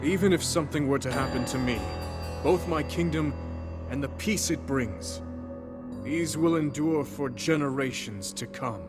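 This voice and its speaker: Man playing a stately, calm noble king. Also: fairly high pitch, measured pace, music in the background, close to an everyday voice. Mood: firm. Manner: stately, formal, assured. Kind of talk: dramatic video game cutscene acting.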